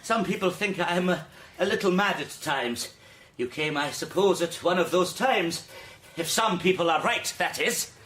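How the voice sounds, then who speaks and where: Theatrical, volatile eccentric gentleman, wildly swooping voice. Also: high voice, expressive, normal speed, a little hiss or hum. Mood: self-pitying, anguished. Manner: theatrical, florid, swinging between outbursts and hushed brooding. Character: eccentric, melodramatic, self-pitying legless gentleman, volatile. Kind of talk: radio drama acting.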